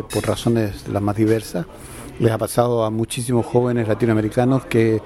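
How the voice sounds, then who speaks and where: A man's voice: medium pitch, warm tone. A man interviewing a writer, framing long, carefully built questions before asking them.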